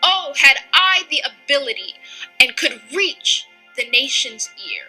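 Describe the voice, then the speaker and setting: A woman reading a historic speech as solemn oratory, deliberate and emphatic. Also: high voice, lively intonation, bright, forceful tone, faint music behind, low-quality recording.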